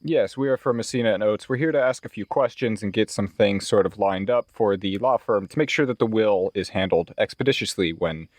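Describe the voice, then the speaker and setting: A businesslike male investigator played by a man at a game table, formal and a little wordy. His voice sits fairly low and is measured, in a dry recording. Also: dry recording, natural voice. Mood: polite, official. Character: businesslike male investigator posing as a law firm's representative.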